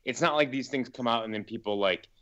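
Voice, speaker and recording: A man's voice: mid-range voice, fast, fairly bright tone, lo-fi sound. A man in a casual podcast discussion as a guest filmmaker, rambling with lots of "like" and speaking in an opinionated, emphatic, casually sweary way. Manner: rambling, hedging with frequent "like".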